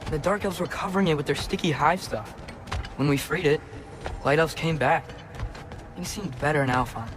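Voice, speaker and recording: Man playing a higher-voiced curious teenage boy. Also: normal speed, over music, lightly acted. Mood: matter-of-fact, candid. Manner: casual, youthful phrasing. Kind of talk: video game voice acting.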